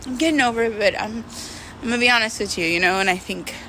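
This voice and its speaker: A woman talking in a casual, confessional monologue, candid and halting, repeating words for emphasis. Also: a little high, pushed and bright, a little hiss or hum, poor audio. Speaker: vlogger.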